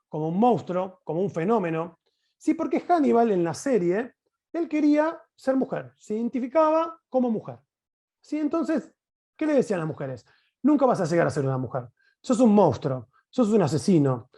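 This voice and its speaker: A man with an Argentine accent giving an explanatory conference talk as a teacher over a video call, in an expressive, emphatic way with rhetorical questions. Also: extremely high pitch, moderate pace.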